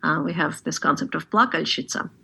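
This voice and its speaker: A woman from Ukraine whose first language is Russian, speaking in a thoughtful, measured way with many pauses on a panel. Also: sits low, vocal fry, sharp tone, breathy edge. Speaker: translator on a panel. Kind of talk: panel discussion.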